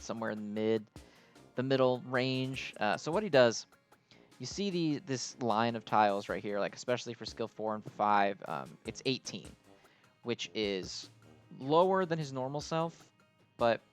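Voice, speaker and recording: A man hosts a game video in a mid-pitched voice over music. He talks casually and off the cuff, working through explanations in a hesitant, rambling way. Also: clear, resonant tone. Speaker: host of a mobile game video. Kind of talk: casual unscripted game commentary.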